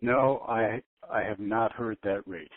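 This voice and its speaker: A man giving informal commentary as a panelist on a telephone conference call, haltingly and repeating his phrases. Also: on the low side, no room sound. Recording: narrowband tinny phone line.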